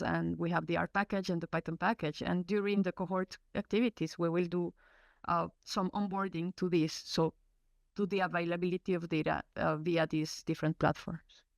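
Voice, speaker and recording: Woman from Colombia with a low gravelly voice. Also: fairly even intonation, moderate pace, dry recording. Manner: hesitant, many fillers and restarts. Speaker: research program presenter. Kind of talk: webinar presentation. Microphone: video call.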